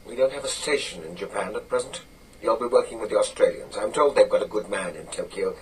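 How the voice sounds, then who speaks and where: An actor playing an authoritative older British intelligence chief in a radio drama, gruff, clipped and matter-of-fact. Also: male voice, fairly low pitch, quick pace, darkish tone, recorded from a distance, close to an everyday voice, low-quality recording. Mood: dry, commanding.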